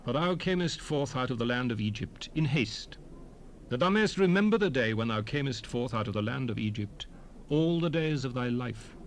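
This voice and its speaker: Man playing a solemn, expressive scripture lawgiver. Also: medium pitch, lightly acted. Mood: solemn, commanding. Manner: solemn, declamatory, measured phrasing. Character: solemn male lawgiver proclaiming commandments from scripture. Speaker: dramatized Bible reader. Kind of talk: dramatized King James scripture recitation. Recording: hissy 1970s stereo cassette rip.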